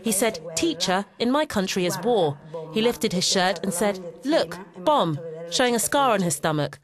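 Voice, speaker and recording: A woman voicing a translation over an interview in a mid-range voice. She speaks calmly and plainly while background chatter is clearly audible. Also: medium pitch, normal speed. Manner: calm, plain delivery.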